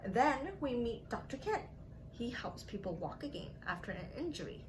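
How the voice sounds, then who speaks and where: A woman reading and teaching for young children as a story-time host, in simple, drawn-out phrases addressed to the kids, on a noisy recording. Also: fairly high pitch, big pitch swings. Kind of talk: read-aloud narration and playful teaching to young children.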